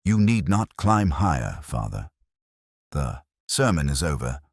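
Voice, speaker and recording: A man voicing a shrewd, commanding Victorian detective in a story, calm, grave and deliberate. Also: extremely low pitch, vocal fry, no room sound, studio quality, exaggerated voice. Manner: terse, deliberate, authoritative.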